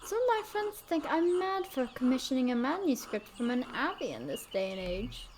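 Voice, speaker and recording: Woman reading a courteous noble patron for a game; high, sing-song delivery. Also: sing-song, normal speed, darkish tone, slight background noise. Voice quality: plain reading in her own voice.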